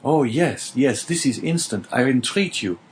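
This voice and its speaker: A man's voice: mid-range voice, lively intonation, moderate pace, slight background noise, pitched above the performer's own voice, close to an everyday voice. A man voicing a desperate young male prisoner, pleading earnestly in a storybook reading. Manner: urgent, imploring storybook delivery.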